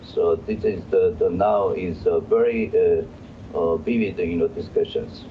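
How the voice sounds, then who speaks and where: A man with a Korean accent giving expert answers in an interview, halting and often searching for words. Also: low-pitched. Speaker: law professor, guest expert. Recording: dull, noisy remote guest audio.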